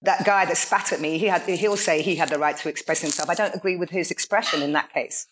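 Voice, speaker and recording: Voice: mid-range voice, fast, fairly bright tone, slight background noise, low-quality recording. A musician guest talking in an earnest, reflective way over a remote call, with lots of fillers. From Nigeria. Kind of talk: casual chat.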